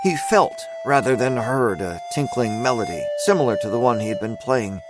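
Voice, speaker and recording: A man narrating scripted dramatic fiction in a measured storytelling manner. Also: mid-range voice, expressive, over loud music.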